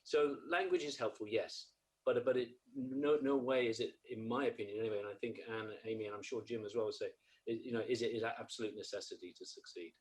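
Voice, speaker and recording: A man's voice: mid-range voice, fast, rough recording. A man on a career panel, chatty and self-deprecating in his points.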